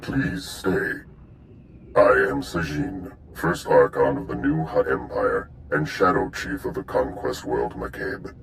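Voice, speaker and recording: Rumbling, extremely deep Hutt crime lord. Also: male voice, slight background noise, full character voice, low-quality recording. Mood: smug menace. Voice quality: gravelly, rumbling. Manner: slow, grandiose, smug. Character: menacing male Hutt crime lord and spymaster. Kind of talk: video game villain acting.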